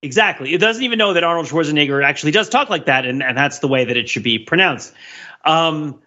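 Bright-voiced man analyzing on a podcast. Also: on the high side, lively intonation, fast, highs cut off. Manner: discursive, analytical. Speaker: podcast panelist. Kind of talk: casual pop culture analysis.

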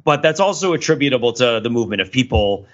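A man's voice: mid-range voice, animated, fast, a bit bright, dull audio. A middle-aged man co-hosting a podcast, talking through ideas in a casual, rambling, analytical way and often checking in with "right?". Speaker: podcast co-host.

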